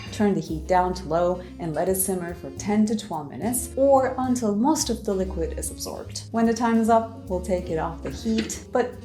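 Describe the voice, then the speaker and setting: A woman in her forties who grew up in Turkey, hosting a cooking lesson in scripted narration, clearly enunciated and upbeat, speaking into a studio mic on a stand in a home studio set. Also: mid-range voice, warm tone. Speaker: cooking channel host. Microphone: studio mic on stand in front of her. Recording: roomy echo with faint music.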